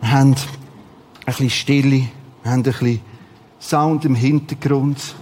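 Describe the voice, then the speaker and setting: A man with a Swiss German accent preaching a sermon in short, repeated, emphatic phrases, with a husky voice. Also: medium pitch, steady tone, moderate pace, a little mellow, a little hiss or hum.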